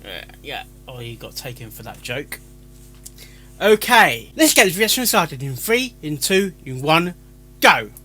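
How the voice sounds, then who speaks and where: Guy doing reaction commentary, high excitable voice, really animated, bit of music behind. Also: measured pace, husky. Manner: excitable, interjects with exclamations and questions. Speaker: reaction video host.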